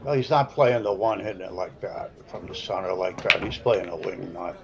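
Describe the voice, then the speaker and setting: An older man with a South Side Chicago accent rambles through pool commentary in a gruff, folksy way. He drifts into storytelling between shots. The recording sounds dull and lo-fi. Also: sits low, moderate pace, crowd noise. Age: 70s.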